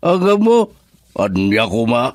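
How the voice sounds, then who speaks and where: A man acting an old husband in a radio drama, speaking in a measured, drawn-out way with a raised voice. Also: mid-range voice, big pitch swings, no room sound, studio quality. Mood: reflective. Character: old husband counselling his wife.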